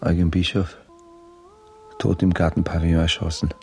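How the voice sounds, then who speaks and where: An actor playing a brooding army officer and baron in a radio play, speaking in a measured, weary, often hushed manner. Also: male voice, deep voice, level tone, gravelly, soft background music. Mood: grim, hushed. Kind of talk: radio play narration and dialogue.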